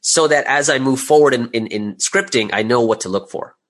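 A man's voice: mid-range voice, brisk, a bit bright. A man speaking as an interview guest, a creator explaining his process step by step in a conversational way and posing rhetorical questions as he goes.

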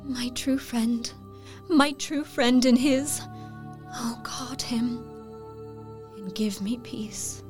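An actress playing a frail dying young woman with a soft, breathy, voluptuous voice and languid, halting delivery in an audio drama. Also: a little high, fairly even intonation, over music. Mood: pleading.

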